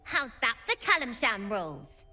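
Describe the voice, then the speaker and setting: High, brash game character. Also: female voice, very animated, moderate pace, pushed and bright, faint music behind, exaggerated voice. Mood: cocky. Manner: cocky, informal, exclamatory. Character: brash, cheeky, competitive female game character. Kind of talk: video game acting.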